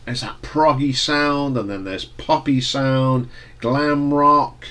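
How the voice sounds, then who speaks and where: A man with a stuffy nose from hay fever, casually reviewing an album in a relaxed, rambling way with fillers and restarts. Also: medium pitch, slow. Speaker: music reviewer.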